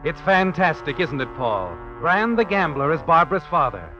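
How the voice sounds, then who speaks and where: A man's voice: a little high, big pitch swings, normal speed. An actor playing an all-knowing narrator of a 1940s radio mystery, sardonic and insinuating as he addresses the listener. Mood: sly, insinuating. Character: sinister, taunting omniscient mystery narrator. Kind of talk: 1940s radio drama second-person narration. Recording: dull vintage radio, music underneath.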